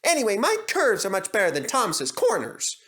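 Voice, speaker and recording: A man voicing a cheeky, cocky young male tank engine in a squeaky, teasing voice for a storybook reading. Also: huge pitch swings, fairly quick, a bit bright, heavily acted. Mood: huffy.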